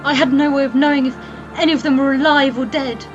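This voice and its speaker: A woman playing a trapped human alchemist, narrating her own ordeal gravely and theatrically in a fantasy audio drama. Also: sits high, level tone, fast talker, close to an everyday voice. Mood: fearful, tense. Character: female human alchemist, trapped, wary and determined survivor. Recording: dull lo-fi sound, music underneath. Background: music.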